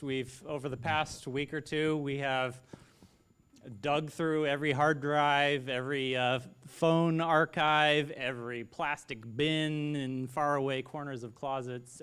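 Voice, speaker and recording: Young man, crisp resonant voice, easygoing slideshow host at a memorial in a church. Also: fairly high pitch, a bit bright. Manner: casual, easygoing, lightly joking. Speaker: grandson presenting a memorial slideshow.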